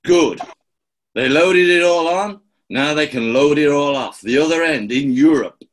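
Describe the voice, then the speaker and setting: A man playing a pompous, gloating gangster boss with a gravelly voice in a radio play. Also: a little high, lightly acted. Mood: smug, menacing. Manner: slow, grandiose, theatrical. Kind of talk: 1940s-style radio play acting.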